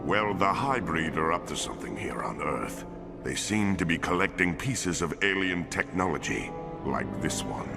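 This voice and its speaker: An actor playing a courteous, solemn big alien lawman in a game cutscene, speaking in formal, measured phrases. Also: low voice, expressive, creaky, over music. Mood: earnest, businesslike. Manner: formal, measured, deliberate wording. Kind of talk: animated game cutscene acting.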